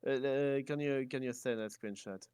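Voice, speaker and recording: A man's voice: mid-range voice, normal speed, a little mellow, clean tone, no room sound. A man with a French accent chatting casually on a live stream over a voice call, insistent and hesitant with many restarts. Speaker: stream guest.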